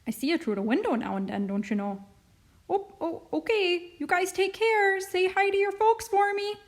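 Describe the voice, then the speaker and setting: A woman's voice: medium pitch, lively intonation, fast talker, darkish tone, husky. A woman voicing an eccentric, kindly older neighbor lady in an audiobook, with talkative, colloquial, folksy phrasing. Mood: chatty, friendly. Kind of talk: audiobook character voice.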